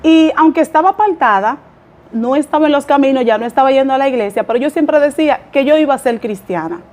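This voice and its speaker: A woman's voice: sits high, quick pace. A woman pastor from the Dominican Republic, speaking with a Dominican accent as she tells her life story in a podcast interview, humble and chatty with small laughs. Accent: Dominican Spanish. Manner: warm, humble storytelling with light laughs and fillers. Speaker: pastor and guest.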